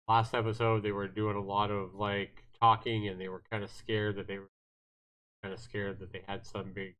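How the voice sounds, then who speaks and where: A man hosting a casual livestream of a visual novel, talking to viewers in a rambling, halting way and reading game text aloud. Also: fairly low pitch, little pitch movement, fairly bright tone, low-quality recording.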